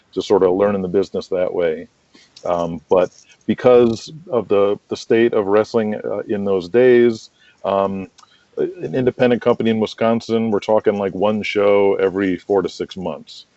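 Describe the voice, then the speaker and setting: A man's voice: lowish voice, steady tone, moderate pace, warm tone, no room sound, dull audio. A middle-aged American man telling rambling anecdotes casually as an interview guest. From United States. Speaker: interview guest, wrestling promoter and manager.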